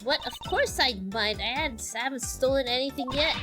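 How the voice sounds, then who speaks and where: A woman's voice: sits high, lively intonation, fairly quick, music in the background. A woman voicing a cheery, boastful young female great thief from a video game. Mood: boastful, indignant. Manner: boastful, bouncy.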